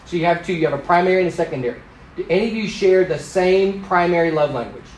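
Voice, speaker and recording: A man's voice: high-pitched, fast talker, a little hiss or hum. Joking middle-aged man teaching a church class. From Thailand. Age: 50s. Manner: animated and joking, teasing the class. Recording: echoey room.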